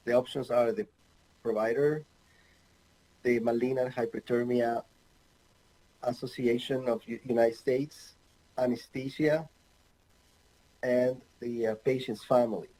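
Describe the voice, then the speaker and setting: Mellow-voiced man from Ecuador lecturing. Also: mid-range voice, rough recording. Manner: halting, many fillers, light humor. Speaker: anesthesiologist presenter. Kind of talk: recorded online medical lecture. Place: home office with bookshelves.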